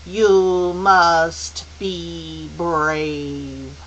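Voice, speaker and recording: Brief: female reader as portentous supernatural being for a storybook, extremely slow, ringing, solemn delivery. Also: lowish voice, little pitch movement, highs cut off. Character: grand, solemn supernatural being speaking slowly. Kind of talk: audiobook character voice. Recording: faint hiss.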